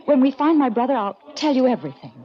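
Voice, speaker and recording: A woman's voice: high voice, big pitch swings, quick pace. An actress playing a secretive, anxious young American woman, urgent and evasive as she pleads, in 1940s radio drama style. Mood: urgent, pleading. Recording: muffled 1940s radio recording.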